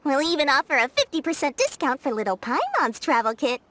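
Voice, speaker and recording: An actress voicing a scheming, greedy young merchant in a video game dub, sly and salesy. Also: female voice, sits high, very animated, brisk, a bit bright.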